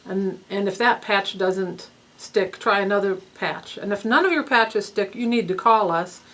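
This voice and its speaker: A woman's voice: mid-range voice, measured pace, a bit bright. Patient woman walking through study forms. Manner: patient, step-by-step instruction with ums. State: a little stuffy-nosed. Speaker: research study coordinator. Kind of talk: explaining study paperwork to participants.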